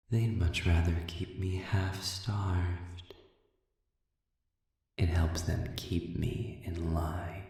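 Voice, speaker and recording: Man voicing a very deep, slow, silky demon prisoner. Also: warm tone. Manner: slow, silky, formal, mock-courteous with breathy whispered phrasing. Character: sadistic, silver-tongued male demon prisoner, coolly menacing. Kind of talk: scripted audio roleplay addressed to the listener. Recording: very echoey, cavernous reverb, distant.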